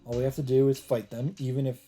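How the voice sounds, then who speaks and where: A man narrating a visual novel aloud as a let's player, in a measured, casual read-aloud delivery. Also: medium pitch, little pitch movement, dark tone, soft background music.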